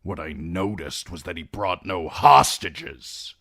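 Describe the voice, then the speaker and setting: A coarse, sneering young lord with a deep, growling delivery, as a man reading a story plays him. He talks slowly and grumbles, heard close and dry. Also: sharp tone, dry recording, heavily acted. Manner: growling, complaining.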